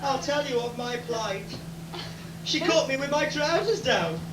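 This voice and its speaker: A sheepish pantomime henchman, played by a man pleads in slow rhyme with a high, hapless voice. It is heard from a distance in an echoey theatre bar thick with noise. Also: expressive. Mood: sheepish pleading. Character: hapless male royal henchman confessing failure, sheepish. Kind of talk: rhyming amateur pantomime on stage.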